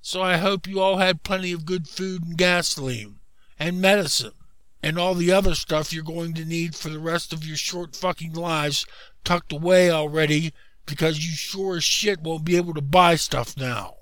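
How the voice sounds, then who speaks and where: A man's voice: fairly high pitch, fairly even intonation, normal speed, fairly bright tone, no room sound, natural voice. A man voicing a bitter, vengeful man who taunts and curses with spite. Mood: venomous gloating.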